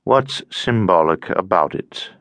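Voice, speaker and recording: A man's voice: deep voice, big pitch swings, normal speed, bright, forceful tone, no room sound, heavily acted. A man voicing a gloomy, irritable Russian lodger in an audiobook, speaking in a terse, cold mutter. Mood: gloomy, irritated. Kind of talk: audiobook character acting.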